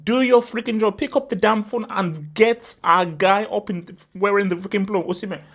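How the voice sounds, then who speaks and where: A man's voice: high-pitched, moderate pace. A young man from Nigeria who hosts a football channel, giving casual, emphatic commentary, often saying "bro" and stacking up exaggerations. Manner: animated and emphatic, repeats "bro", spirals into exaggeration.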